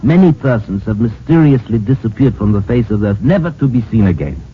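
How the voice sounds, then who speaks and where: A man playing a solemn, formal theorist who speaks deliberately in old-time radio drama. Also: mid-range voice, very animated, natural voice. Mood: solemn, suggestive. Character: solemn, deliberate male theorist of mysterious disappearances. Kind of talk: 1940s-50s radio acting. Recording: muffled, hissy old radio recording.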